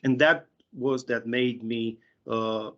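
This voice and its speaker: A man's voice: mid-range voice, steady tone, slow, fairly bright tone. A man in his thirties with a Romanian accent, an interpreter speaking hesitantly on a video call. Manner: hesitant, many fillers and restarts. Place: small room indoors. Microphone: video call webcam. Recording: dull lo-fi video call audio.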